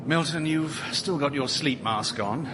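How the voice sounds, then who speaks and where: An actor playing an anxious, earnest, exasperated sidekick in a radio sitcom. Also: male voice, on the high side, measured pace, heavy background noise, natural voice.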